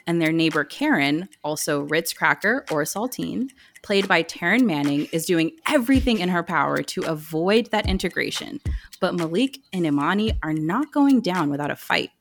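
A woman podcast guest giving a crisp, succinct summary. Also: fairly low pitch, lively intonation, moderate pace. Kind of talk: concise scripted summary, then chat.

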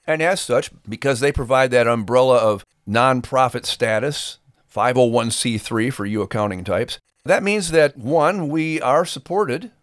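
Middle-aged man, medium-pitched voice, folksy and earnest, talking conversationally to listeners, dry recording. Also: unhurried, no echo. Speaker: podcast host and ministry performer.

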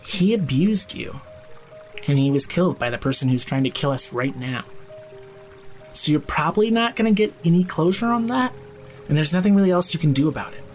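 A male actor as a tipsy, straight-talking young man in an audio drama. His voice sits fairly high for a man, and he pushes his point in slangy, gruffly caring speech, with music underneath. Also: expressive, brisk, natural voice. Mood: blunt, firm. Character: drunk, blunt, foul-mouthed young man confronting his friend. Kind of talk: audio drama acting, naturalistic.

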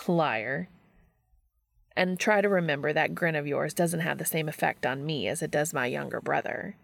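A wry male barista from an audiobook story as a woman acts him out, all casual teasing and older-brother banter. The voice is on the low side, with creak, in a dry recording. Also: moderate pace, no room sound.

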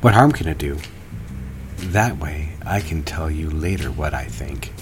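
A man playing an indulgent father and smooth-talking, flirtatious husband in an audio drama, gently commanding and unhurried. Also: very deep voice, lively intonation, slight background noise. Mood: teasing, seductive.

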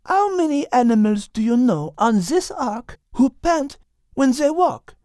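A man voicing a calm, wise female cat who reasons carefully and drops French words. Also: extremely high pitch, slow, no echo, studio quality, voice pushed up, heavily acted. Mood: reasoning.

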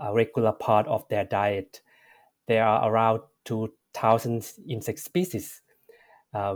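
A man from Thailand with a Thai accent, answering interview questions as a researcher, pausing often with fillers and correcting himself. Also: fairly low pitch, a little mellow. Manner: measured, frequent "uh" fillers and self-corrections. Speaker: researcher guest.

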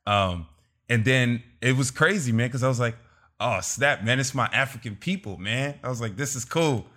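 A man's voice: medium pitch, normal speed, sharp tone. A man hosting a podcast over a remote call, laid-back and chatty, laughing often and saying "man" a lot. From Los Angeles. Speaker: podcast host. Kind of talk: casual video-call interview.

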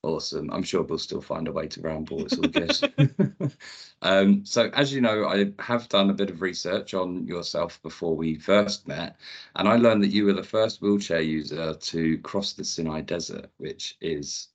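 A man's voice: deep voice, measured pace, breathy edge. A man hosting a podcast, friendly with many ums as he interviews a guest. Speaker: podcast host. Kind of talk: scripted podcast intro and interviewing.

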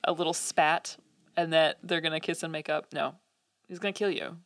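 A woman's voice: low-pitched, fairly even intonation, moderate pace, no room sound, clean studio sound. A woman co-hosting a casual podcast, talking thoughtfully with many ums and likes. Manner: thoughtful, many fillers and hedges. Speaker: podcast co-host.

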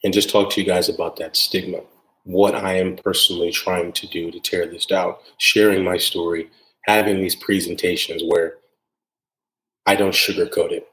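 A man's voice: sits low, level tone, moderate pace, fairly bright tone, reverberant, low-quality recording. A man hosting a solo podcast episode, talking earnestly and repeating phrases for emphasis, often adding "right?". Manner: frequent tag "right?". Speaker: podcast host.

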